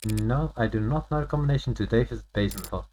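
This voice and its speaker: A man playing a brisk, guarded investigator in a game, with a dry, matter-of-fact manner. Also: fairly low pitch, noisy background, lightly acted. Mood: curt.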